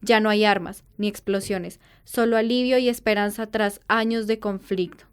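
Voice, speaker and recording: A woman playing a solemn wartime radio news reporter, reading a formal, measured scripted bulletin. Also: mid-range voice, steady tone, music in the background, lightly acted. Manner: formal, measured announcer delivery. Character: solemn female radio news reporter announcing war's end from Paris.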